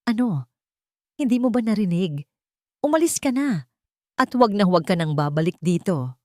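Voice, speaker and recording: A proud young man from a romance audio drama, voiced by a woman storyteller. He is curt and commanding, with tenderness hidden under the gruffness. The recording is close and dry. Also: medium pitch, very animated, normal speed, warm tone, no echo, close to an everyday voice. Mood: angry, curt.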